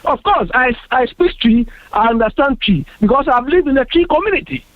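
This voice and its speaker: Man, Ghanaian accent, radio panel guest, emphatic, animated, tinny phone-like audio. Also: very high voice, a little fast, no room sound. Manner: earnest, emphatic, repeats himself.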